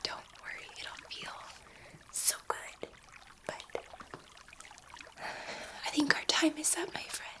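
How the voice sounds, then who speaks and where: Woman acting as female alien scientist, soft breathy whisper, slow and tender, fond of humans, ASMR roleplay. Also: natural voice. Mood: dreamy, soothing. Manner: slow, tender, reassuring, with halting pauses.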